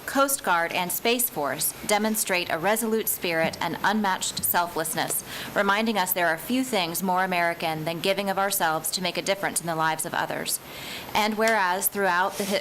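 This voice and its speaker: A woman county commissioner reading a formal proclamation in a measured, steady way. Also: on the low side, slight background noise, low-quality recording. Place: county meeting chamber.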